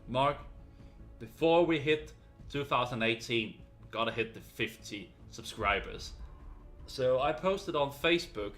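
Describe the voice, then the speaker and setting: A man, by birth, hosts his video game channel and talks straight to the camera in a casual, earnest ramble full of small fillers. His voice sits at an ordinary pitch for a man, and faint music plays softly behind him. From Denmark. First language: Danish. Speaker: gaming channel host.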